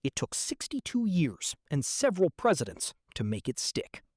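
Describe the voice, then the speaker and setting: A man's voice: fairly high pitch, wildly swooping pitch, normal speed, darkish tone, breathy edge, dry recording, studio quality, full character voice. A man playing a small-town 1970s radio newscaster, reading bulletins in a formal announcer's manner. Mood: formal, upbeat.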